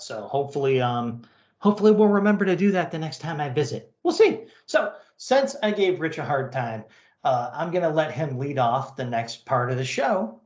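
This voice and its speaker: A man's voice: a little high, lo-fi sound. An American man hosting a podcast in a casual, chatty style. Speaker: podcast host. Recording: echoey, roomy sound.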